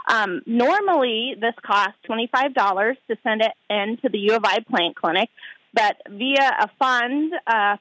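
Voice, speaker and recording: A woman's voice: fairly high pitch, a bit bright, no echo, poor audio. Woman agronomist, dry close sound. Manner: hesitant, many fillers. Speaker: outreach agronomist guest. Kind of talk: interview by phone. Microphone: phone line.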